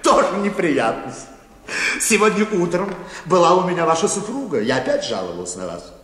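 A man's voice: high voice, sing-song, normal speed, a bit bright, slight background noise. An actor playing a vain, self-pleased bank chairman in a radio play, pompous and fussily familiar in a theatrical way. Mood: chiding, amused. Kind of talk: theatrical Russian radio drama acting. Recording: echoey hall with long reverb.

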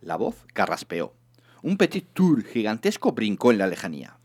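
Lively man narrating a comic story. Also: fairly low pitch, normal speed, breathy edge.

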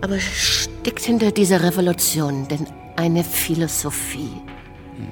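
A woman's voice: fairly low pitch, measured pace, natural voice. A woman playing a disillusioned, gossipy society hostess in a German radio drama, talking with sighing irony. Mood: wry, probing. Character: disillusioned society salon hostess, mother-in-law, gossipy and wry. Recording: music playing behind the voice.

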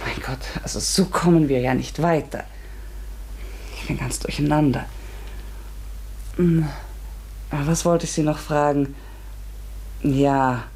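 An inquisitive, slightly bewildered woman in a radio drama. Her voice is low, dark and husky. She feels her way through her lines with earnest, tentative care. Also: full character voice. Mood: eager, puzzled. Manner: tentative, questioning. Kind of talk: German radio play acting.

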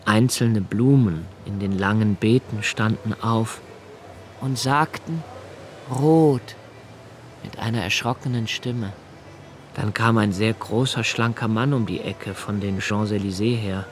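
An actor playing a brooding, anxious man of twenty-eight in a radio play, narrating his notebooks in a measured, reflective way with deliberate pauses. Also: on the low side, a little hiss or hum, close to an everyday voice. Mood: pensive, observant. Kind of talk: literary radio play monologue, first-person narration.

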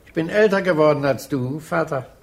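A man's voice: a little high, normal speed, creaky. An actor in a German radio drama playing a stubborn old man who dwells on memories and contradicts in a matter-of-fact way. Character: old man fishing, stubbornly argumentative, reminiscing.

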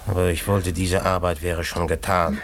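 A man acting in a German radio play as an anxious, soothing husband with a dark secret, coaxing and hesitant. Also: deep voice, little pitch movement, moderate pace. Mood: grim, uneasy.

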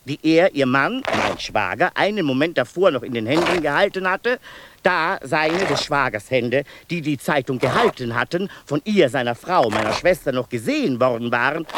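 An obsessive asylum inmate in a radio play, a fairly high-pitched man who winds through long, circling sentences and keeps repeating the same names. A clear hiss runs under the voice. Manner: long-winded, circling, endlessly repeating himself. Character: male asylum inmate, obsessive pedantic storyteller. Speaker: inmate narrating family deaths. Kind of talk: 1990s radio play acting.

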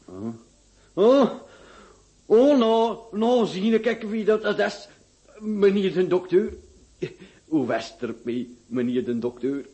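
A drunken stammering peasant. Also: male voice, high-pitched, big pitch swings, slow, long pauses, dark tone, exaggerated voice. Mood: jovial. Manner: stammering, slurred, folksy. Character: drunken boorish peasant man, sheepish and jovial. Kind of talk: radio drama acting. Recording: dull lo-fi radio play recording.

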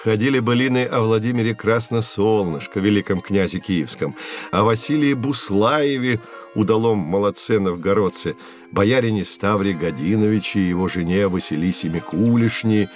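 Male actor as patient teacher guy explaining to kids, kinda low voice, storyteller delivery, educational audio show. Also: moderate pace, faint music behind, close to an everyday voice. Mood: patient, explanatory. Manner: explanatory, storytelling, clearly phrased. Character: patient, knowledgeable male teacher guiding two children. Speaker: teacher and narrator. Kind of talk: scripted educational narration for children.

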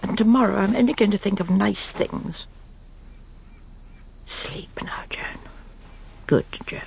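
A woman's voice: mid-range voice, takes it slowly, vocal fry, darkish tone, in a whisper. An actress playing a proper, self-satisfied middle-aged wife and mother in a radio drama, prim and clipped, anxiously reassuring herself. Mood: troubled, brooding. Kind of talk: radio drama acting, dialogue and inner monologue.

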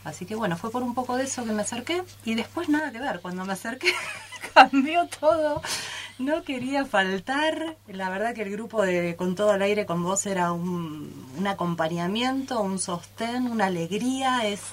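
A woman's voice: medium pitch, animated, moderate pace. A woman chatting on live radio, repeating words as she searches for them. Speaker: radio theatre troupe member. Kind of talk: informal live radio chat. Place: radio studio.

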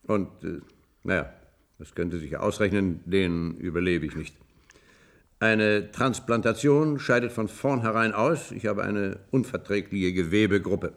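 An actor playing a proud, bitter, ailing old ex-surgeon in a radio play, earnest and stubborn, hesitant then insistent. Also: male voice, on the low side, measured pace. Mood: stubborn, grave. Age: older. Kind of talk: German science-fiction radio play acting.

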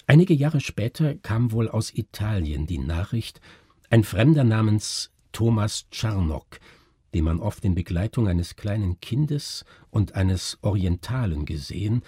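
A grave, educated doctor, acted by a male narrator from a literary tale. He speaks formally and broodingly, with a very husky, mellow voice that stays close and dry. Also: fairly low pitch, no room sound, exaggerated voice.

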